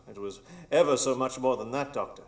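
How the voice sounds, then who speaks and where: A man's voice: medium pitch, normal speed, close to an everyday voice. A man as a grandiose, scheming old pirate villain. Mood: smug, sinister. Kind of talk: live radio drama acting.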